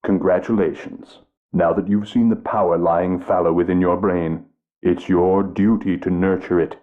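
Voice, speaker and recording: A man's voice: sits low, fairly even intonation, normal speed, close to an everyday voice. A man playing a pompous, smug male tutor. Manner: pompous, condescending. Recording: tinny phone-like game audio.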